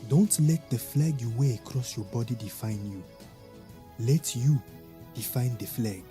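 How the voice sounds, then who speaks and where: A man plays a grave warrior king in an audio drama. He speaks formally and with measured dignity at a medium pitch, over a clear music score. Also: mellow, natural voice. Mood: solemn, kindly. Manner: solemn, formal. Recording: dramatic music bed behind.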